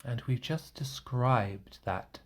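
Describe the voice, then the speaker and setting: A man leading a guided meditation in a gentle, reassuring manner, leaving long pauses between short phrases. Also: mid-range voice. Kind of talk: guided meditation, soothing instruction.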